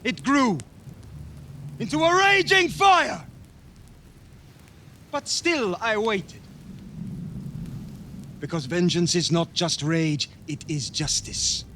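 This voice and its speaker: An actor playing a vengeful young Viking war leader in a video game, grave and oratorical in delivery. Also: male voice, sits high, very animated, pushed and bright, slight background noise, heavily acted. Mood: stirring, resolute. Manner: slow, solemn, oratorical delivery. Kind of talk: dramatic cinematic game acting.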